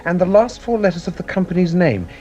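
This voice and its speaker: An actor playing an earnest, protective gentleman in a radio drama, speaking with care. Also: sits high, sing-song, fast talker, dull audio, faint music behind. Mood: puzzling, careful. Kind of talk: British radio drama acting.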